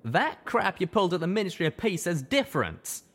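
A man voicing a young male pony who speaks in a rough folksy way, strained with guilt. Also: on the high side, big pitch swings, normal speed, voice pushed up. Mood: earnest. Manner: folksy drawl, strained and emotional.

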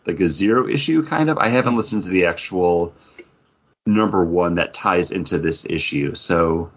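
A man co-hosting a casual comics podcast, talking in an animated way full of "like" fillers. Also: deep voice, normal speed, highs cut off. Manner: animated, filler-heavy, frequent "like". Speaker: podcast co-host.

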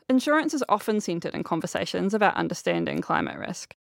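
A woman, moderating a panel discussion in a deliberate, articulate way. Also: on the low side, vocal fry, no room sound, studio quality.

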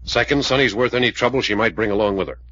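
An actor playing a steady, blunt investigator in 1940s radio drama, laconic and plain-spoken, with an American accent. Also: male voice, lowish voice, quick pace, dry recording. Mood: firm. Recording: dull old radio recording.

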